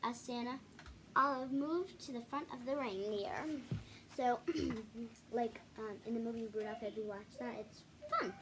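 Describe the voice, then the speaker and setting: A woman hosting a children's read-aloud video, reading a picture book with casual, halting, self-correcting asides to viewers. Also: fairly high pitch, background noise. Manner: halting, self-correcting, apologetic while showing pages.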